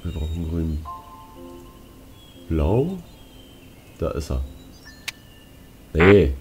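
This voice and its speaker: A man's voice: very deep voice, animated, very slow, darkish tone, soft background music. A young man giving casual gameplay commentary, slangy and muttering to himself. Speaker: let's player.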